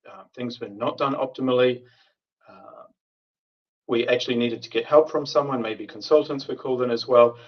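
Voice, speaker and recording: A man giving a prepared tech conference talk in a measured way, with frequent filler sounds. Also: mid-range voice, fairly even intonation. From New Zealand. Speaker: conference speaker, software architect. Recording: distant, dull remote call audio.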